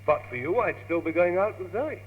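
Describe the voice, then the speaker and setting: A man's voice: high voice, animated, a little fast. Stammering lovestruck young British man. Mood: smitten. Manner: stammering, earnest, gushing. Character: lovestruck, naive, eager young gentleman, David. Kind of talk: old British radio comedy acting. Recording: tinny, hissy, humming old radio tape, reverberant.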